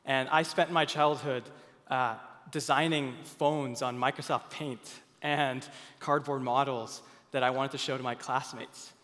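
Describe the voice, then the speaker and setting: A man's voice: medium pitch, steady tone, measured pace, a bit bright. A young man from Finland giving an earnest conference stage presentation, with frequent fillers and restarts. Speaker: product speaker at a conference. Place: large conference hall. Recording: long hall reverb.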